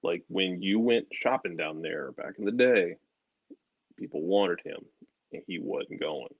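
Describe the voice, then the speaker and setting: A man's voice: deep voice, unhurried, slightly rough, dry recording, narrow, tinny audio. A man co-hosting a sports show, giving casual analysis and often repeating himself as he thinks.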